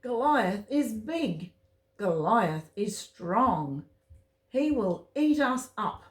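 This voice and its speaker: A woman's voice: fairly high pitch, big pitch swings, very slow, pitched above the performer's own voice, full character voice. A woman voicing a timid, worried young male mouse who gives fearful warnings in a children's story. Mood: afraid. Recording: roomy, echoey home recording.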